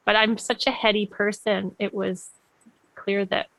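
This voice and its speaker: A woman with a gravelly, creaky voice telling a personal story. She speaks reflectively with soft laughs. The sound is dry and close. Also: mid-range voice, level tone, a little slow, no room sound, low-quality recording. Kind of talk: casual conversation.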